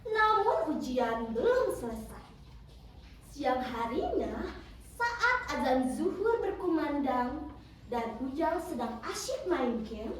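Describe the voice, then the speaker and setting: A woman telling a children's story as theatrical scripted narration, with dramatic, deliberate phrasing. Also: high voice. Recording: roomy, distant mic with background noise.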